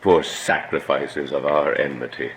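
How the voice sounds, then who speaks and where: A man's voice: very deep voice, fairly even intonation, measured pace, fairly bright tone, exaggerated voice. An actor playing a sorrowful old nobleman in a Shakespearean audio drama, formal and subdued. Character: sorrowful old nobleman making peace with rival.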